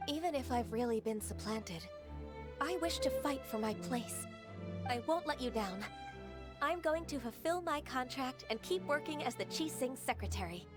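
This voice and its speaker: Ganyu, a soft-spoken ageless secretary. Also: female voice, high-pitched, expressive, normal speed. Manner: soft, polite and composed. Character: gentle, ageless female secretary of half-divine blood. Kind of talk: game cutscene dialogue. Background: game background music.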